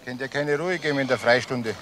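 An actor playing a stern, scolding prison guard in a German crime radio play. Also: male voice, mid-range voice, a little fast, a bit bright, lightly acted. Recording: clear outdoor background noise.

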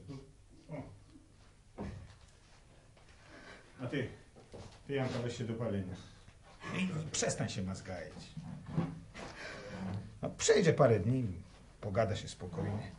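A mid-pitched country man played by a male actor in a radio drama, the practical grown son trying to keep order. He speaks in a homely, halting way, half scolding and half placating, over clear background noise. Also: animated, natural voice. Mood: soothing. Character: exasperated, practical grown son of village family. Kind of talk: Polish radio serial acting. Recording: noisy old radio recording.

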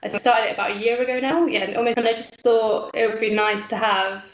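A woman in her twenties from the English Midlands, talking casually as a guest on a video call from a small room at home, relaxed with many pauses and ums. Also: a little high. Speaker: guest, fan channel creator. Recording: echoey room, dull lo-fi call audio.

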